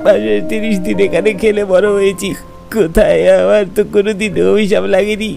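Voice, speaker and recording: Defiant young heir, horror cartoon. Very high for a man, gravelly, dark-toned, insistent. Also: level tone, a little fast, faint music behind. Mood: defiant, upset. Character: grieving, defiant young landlord's son from the city. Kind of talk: cartoon horror drama acting.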